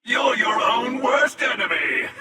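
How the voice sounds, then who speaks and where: An actor playing a menacing, world-weary interdimensional hunter in a video game, slow and taunting, with a gravelly rasp and an otherworldly echo. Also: male voice, extremely high pitch, heavy background noise, heavily acted. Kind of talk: video game character dialogue. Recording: reverberant, distant echoing voice.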